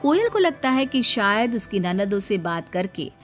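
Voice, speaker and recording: A woman's voice: medium pitch, very animated, moderate pace, faint music behind. A woman reading a scripted moral story as its narrator.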